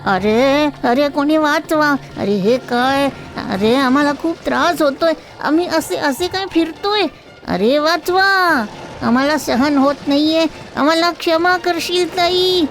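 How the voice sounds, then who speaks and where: Bossy bullying bazaar merchant for a cartoon fable, a woman acting him; high-pitched, blustering, over music. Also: measured pace. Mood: panicked. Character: bullying male market traders, loud and threatening.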